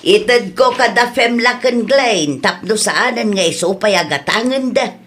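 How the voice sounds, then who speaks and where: A woman's voice: medium pitch, expressive, measured pace, fairly bright tone, a little hiss or hum. A woman playing a plain-spoken, reproving village woman in a comedy audio drama, with a Filipino accent and a chiding manner.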